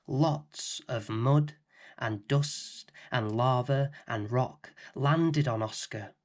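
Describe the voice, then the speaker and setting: A middle-aged man hosting and reading a children's bedtime story in a gentle, expressive way. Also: medium pitch, very slow. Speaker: storybook reader and host.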